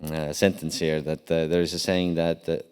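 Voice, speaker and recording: Man from Estonia, very low pitch, halting with fillers, long hall reverb. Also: level tone, a little mellow, smooth. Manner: halting, many fillers and restarts. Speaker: deputy cyber commander on a panel. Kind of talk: conference panel answer. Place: large conference hall.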